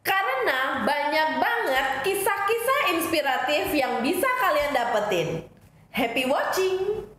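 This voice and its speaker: A woman's voice: high voice, sing-song, a little slow, a bit bright, a little hiss or hum. A woman giving a cheerful channel intro, inviting viewers to subscribe and watch. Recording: very echoey room.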